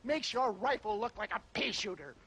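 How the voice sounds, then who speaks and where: A pompous older con-man peddling tonics, his voice pitched high and swooping all over with theatrical flair. He chuckles and wheedles through every line like a showman in a game cutscene. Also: animated, moderate pace, sharp tone, husky, full character voice. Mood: theatrical scheming. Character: flamboyant old male con-man tonic salesman. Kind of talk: video game cutscene acting.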